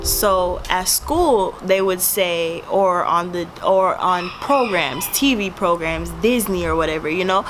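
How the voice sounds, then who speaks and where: A woman's voice: lowish voice, a little slow, hardly any pauses, noisy background. A twenty-year-old woman from Jamaica with a Jamaican accent, telling a personal story to camera in a casual, blunt and profane way. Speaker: vlogger.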